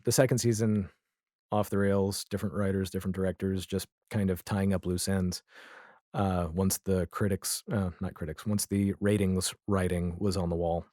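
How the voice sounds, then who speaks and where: A man's voice: deep voice, flat delivery, moderate pace, dark tone, no echo, clean studio sound. A man talking casually as a podcast guest, retelling things in an opinionated way with emphatic asides and mimicked quotes. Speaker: podcast guest, audio drama creator.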